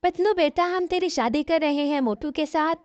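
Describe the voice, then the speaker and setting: A woman's voice: high voice, moderate pace, a little mellow, no echo, close to an everyday voice. A woman voicing an exasperated, scolding middle-aged mother in a cartoon, reproachful and insistent. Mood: firm. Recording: dull, lo-fi audio.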